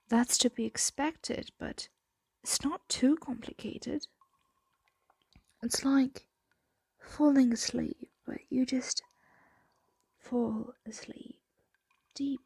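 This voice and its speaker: Slow, breathy dragon played by a woman, ASMR. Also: a little high, no echo, full character voice. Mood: gently curious. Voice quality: breathy, soft, often whispering. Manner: slow, intimate, coaxing. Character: possessive, tender dragon hoarding a human pet. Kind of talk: ASMR roleplay. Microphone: close microphone.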